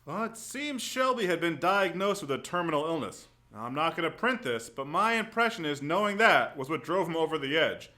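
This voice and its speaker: An actor playing an earnest, guarded newspaper reporter in a radio drama, with a hesitant, confiding manner. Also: male voice, a little high, lively intonation, a little fast, few pauses, sharp tone. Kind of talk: 1940s-style radio drama acting.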